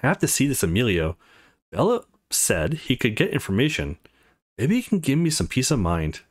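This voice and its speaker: A dry, brooding young hero of a visual novel game, read out by a man. The voice sits in a middle pitch. The lines come out curt and matter-of-fact. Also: huge pitch swings, moderate pace.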